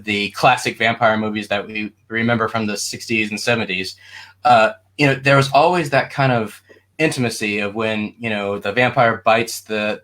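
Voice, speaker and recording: A man's voice: lowish voice, a bit bright. A man talking casually as a panelist, informal and rambling in his delivery.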